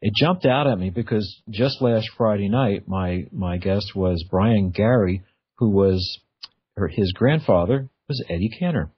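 Male radio interviewer, deep-ish and husky, casual and meandering, backs up and restarts mid-thought. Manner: rambling, halting, self-correcting. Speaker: radio show host. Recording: slightly dull, lo-fi audio.

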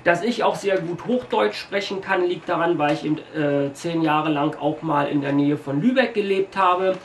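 Middle-aged Berlin man telling stories, roomy. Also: a little high. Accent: light Berlin German. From Berlin, Germany. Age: 40s. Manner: chatty, reads from notes, frequent pauses and fillers. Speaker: video host telling shop stories. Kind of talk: casual storytelling to camera. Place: small room packed with shelves, indoors. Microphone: camera mic a few metres away. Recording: roomy, distant camera audio with faint hiss.

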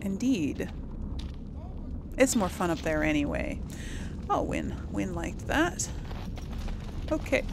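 Slow-talking woman narrating a game. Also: on the low side, wildly swooping pitch, gravelly, noisy background. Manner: chatty, giggly, musing aloud. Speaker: let's play host. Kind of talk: casual game commentary and role play. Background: game music.

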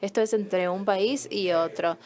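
A woman's voice: mid-range voice, a little slow, a little mellow. A woman interpreting a conference talk as it is given, explaining step by step and quizzing the audience.

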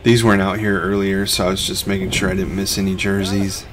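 A man's voice: low-pitched, monotone, fairly quick, slight background noise. A middle-aged man, a reseller who hosts a vlog, narrating over his footage in a laid-back, conversational way.

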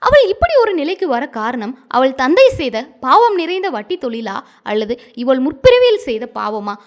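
A woman storyteller narrating a scripted moral tale in a dramatic, expressive manner. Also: high voice, moderate pace.

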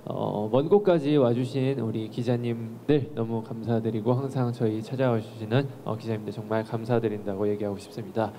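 A young man speaks politely into a handheld mic at a press conference, grateful and steady with small fillers. His voice is warm and mellow at a middle pitch. Faint hiss sits behind him. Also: a little fast, few pauses.

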